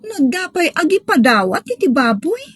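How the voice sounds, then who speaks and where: A woman playing an exasperated, nagging wife in an Ilocano drama, with a husky voice. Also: high voice, very animated, moderate pace, fairly bright tone, dry recording, studio quality. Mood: suspicious, scolding. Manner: nagging, questioning. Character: exasperated wife of a selfish engineer. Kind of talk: Ilocano audio drama acting.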